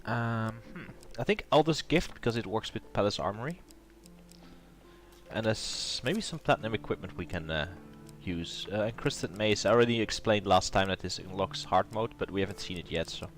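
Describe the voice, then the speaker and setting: A man's voice: on the low side, expressive, normal speed, faint music behind. A man with a cold and a nasal voice, hosting a casual gameplay commentary and thinking aloud with plenty of hesitation. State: has a cold. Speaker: let's play host.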